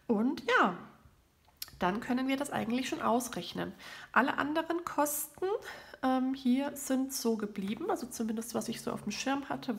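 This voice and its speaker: A woman with a summer cold, gravelly. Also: medium pitch. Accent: southern German. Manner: chatty, thinking aloud over numbers. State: has a cold with a stuffy nose. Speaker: budgeting channel host. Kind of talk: casual talk while doing a monthly budget. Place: at a desk indoors, hands over a planner.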